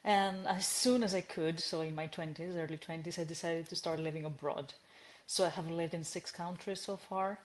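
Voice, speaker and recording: A woman tells her story as a podcast guest, her voice sitting a little low for a woman. She talks in an animated, searching way, backing up to restart her phrases and laughing lightly now and then. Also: normal speed. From Greece. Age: 30s. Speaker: guest, entrepreneur. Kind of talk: conversational podcast interview.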